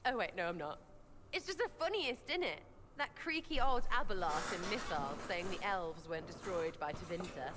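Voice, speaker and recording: A woman's voice: on the low side, expressive, normal speed, natural voice. An actress playing a cheeky, scornful young elf woman with a British accent, blunt, slangy and mocking. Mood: gleeful scorn. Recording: noisy game audio with long reverb.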